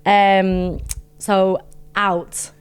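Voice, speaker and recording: A young-sounding woman with a London accent runs a light, teasing interview. Her voice is smooth and clear, and she laughs easily between questions. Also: mid-range voice, flat delivery, very slow. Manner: giggly, teasing. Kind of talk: casual playful interview.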